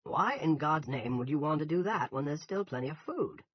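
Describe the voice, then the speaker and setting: A man's voice: a little high, lively intonation, normal speed, slightly rough, no room sound, lo-fi sound, voice pushed up. A man voicing an indignant, blustering, folksy older small-town grocery man in an audiobook. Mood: angry. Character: angry broad-bellied gray-haired older grocery man, indignant.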